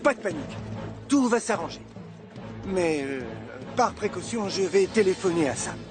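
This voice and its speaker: A man voicing an excitable, boastful but good-hearted fisherman father in a French cartoon dub. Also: high voice, moderate pace, over music. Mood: flustered.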